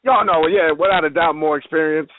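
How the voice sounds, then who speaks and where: A man's voice: on the high side, a little fast. A man giving a casual interview as a professional wrestler, blunt, streetwise and full of slang, over a phone line. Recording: hissy, noisy, tinny phone line.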